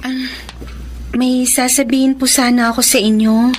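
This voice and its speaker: A very high-voiced, sweet young woman played by an actress in a Filipino radio drama. She is a hopeful granddaughter, polite and tender with her elders. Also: flat delivery, moderate pace, a little hiss or hum, natural voice. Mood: shy, hopeful.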